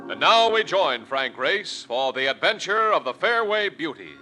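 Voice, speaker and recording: Male 1940s radio announcer, fairly high voice, highly animated scripted delivery, on an old recording with organ behind. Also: normal speed, rough recording. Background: organ music.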